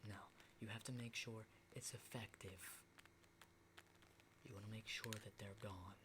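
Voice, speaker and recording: A man playing an unsettling, lonely, hardened apocalypse survivor in an ASMR roleplay, speaking in a halting, breathy whisper and soft voice. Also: on the low side, fairly even intonation, background noise, lightly acted. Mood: intense, instructive. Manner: hushed, halting, repeats words, mild swearing.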